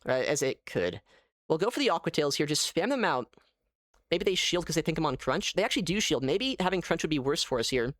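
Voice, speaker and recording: Male gaming streamer, casual play-by-play, animated, thinking aloud, lively swooping pitch, dry close sound. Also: brisk, no room sound. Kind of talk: casual live gameplay commentary.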